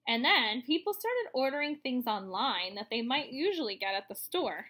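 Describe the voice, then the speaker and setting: Bright, high-pitched woman reading to children. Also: normal speed. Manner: expressive, explanatory, addressing young listeners. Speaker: teacher reading a children's book aloud. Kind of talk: read-aloud storytelling for children with asides. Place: room at home, indoors.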